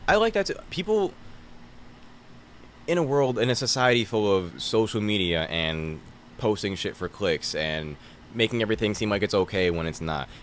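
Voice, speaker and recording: A man's voice: lowish voice, sing-song, normal speed, a little hiss or hum. A young man with a New Jersey accent, a stand-up comedian chatting casually on a podcast, laid-back and joking with plenty of swearing.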